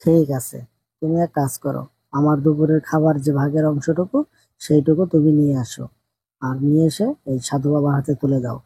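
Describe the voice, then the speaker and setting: Very dark, husky poor farmer played by a man. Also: a little high, steady tone, moderate pace, no room sound. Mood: resolute, generous. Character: poor, kind-hearted, hardworking village farmer husband. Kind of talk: amateur cartoon story dubbing.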